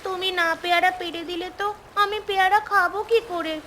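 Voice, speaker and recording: A woman's voice: very high voice, flat delivery, fairly quick, sharp tone, slight background noise. A woman voicing a little girl in a cartoon, a doting younger sister with a childlike, insistent, melodramatic way of pleading. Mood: coaxing.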